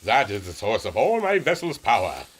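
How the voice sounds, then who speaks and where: An actor playing a huge, jovial German airship captain and inventor with a theatrical delivery and a German accent, in a pulp radio adventure. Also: male voice, wildly swooping pitch, normal speed, slight background noise. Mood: genial, proud. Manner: hearty booming laugh, theatrical delivery.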